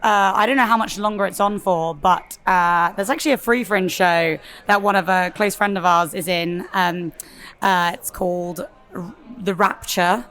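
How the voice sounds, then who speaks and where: A young woman with a slightly husky voice, chatting casually about her theatre show with lots of fillers. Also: lowish voice, steady tone, moderate pace, a bit bright. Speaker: theatre performer. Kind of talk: casual interview chat. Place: festival venue interview.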